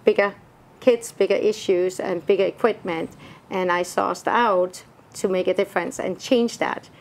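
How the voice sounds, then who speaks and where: A woman's voice: mid-range voice, fairly even intonation, moderate pace, slight background noise. Earnest woman from Denmark, studio guest. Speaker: guest, nonprofit founder. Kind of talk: interview answers.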